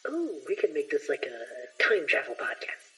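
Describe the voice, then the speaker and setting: A woman playing an excitable young male student adventurer, breezy and showy, in an audio drama. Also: low-pitched, normal speed, slightly rough, soft background music, full character voice, low-quality recording. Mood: eager, persuasive.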